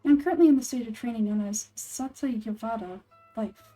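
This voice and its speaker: An earnest, scholarly young woman from a fantasy game acted by a woman. She speaks in measured, formal sentences with a fairly high, mellow tone. Soft music sits behind the voice. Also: lightly acted. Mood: earnest, wistful. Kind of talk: video game dialogue read-through.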